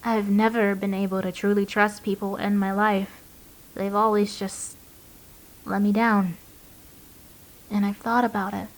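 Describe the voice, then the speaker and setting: An actress playing an anxious, guarded young mother confiding haltingly and emotionally into an audio diary in a drama. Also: medium pitch, darkish tone, natural voice, low-quality recording.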